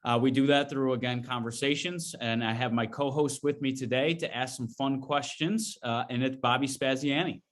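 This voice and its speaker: Man, podcast co-host, enthusiastic, easygoing, mid pitch. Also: steady tone, normal speed, hardly any pauses. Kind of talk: conversational podcast hosting.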